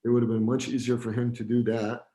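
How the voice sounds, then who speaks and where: A man from Romania, talking as a guest in a relaxed, friendly, joking way over a video call. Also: mid-range voice, quick pace. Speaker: guest, gallery founder. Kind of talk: casual video-call interview.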